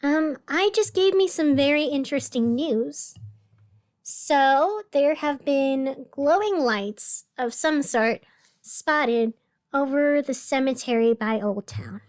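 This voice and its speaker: A woman playing a whimsical, musing radio host of a strange city in a scripted fiction podcast, with dramatic pauses. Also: high voice. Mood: cautious, announcing. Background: music behind the voice.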